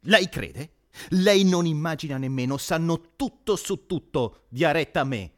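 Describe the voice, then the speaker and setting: A man's voice: a little high, expressive, a little slow. A man acting in a radio play as a nervous, credulous questioner, hesitant, startled and easily flustered. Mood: flustered, alarmed. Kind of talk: theatrical radio drama acting.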